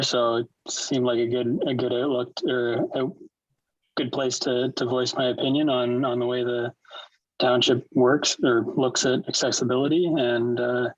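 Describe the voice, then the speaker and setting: A man's voice: mid-range voice, no room sound. A shy new committee member, a man, speaking hesitantly on a video call. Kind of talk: casual meeting chat. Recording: dry, dull lo-fi call audio.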